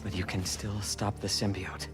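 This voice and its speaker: A man's voice: fairly low pitch, flat delivery, normal speed, music in the background, lightly acted. An actor playing a grave male scientist in a video game cutscene.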